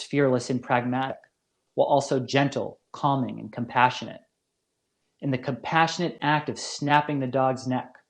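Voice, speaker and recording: A man giving a scripted academic lecture as a professor, with deliberate, formal phrasing and careful emphasis. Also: medium pitch. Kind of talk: scripted philosophy lecture narration.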